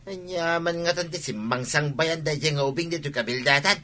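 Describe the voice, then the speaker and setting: An actor plays a questioning village husband in a radio comedy. His voice is fairly high and sharp, and he speaks with an Ilocano accent. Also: a little fast. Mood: questioning, indignant.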